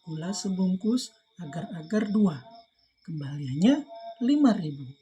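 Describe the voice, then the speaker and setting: A woman's voice: fairly low pitch, lively intonation, measured pace, mellow, a little hiss or hum. A woman playing a kind, earnest young child in a read-aloud storybook, speaking simply and carefully. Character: kind, conscientious young child repeating a shopping list.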